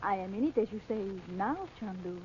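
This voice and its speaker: A woman's voice: mid-range voice, steady tone, a little fast, a little mellow, natural voice. An actress playing a devoted, stubborn foreign woman in an old-time radio serial, speaking in formal, careful phrases. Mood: devoted, insistent. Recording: dull, noisy old radio recording.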